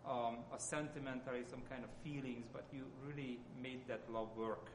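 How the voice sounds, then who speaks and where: A man with a Hungarian accent gives an informal sermon as a lay church speaker, often halting as he searches for words. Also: medium pitch, steady tone, background noise.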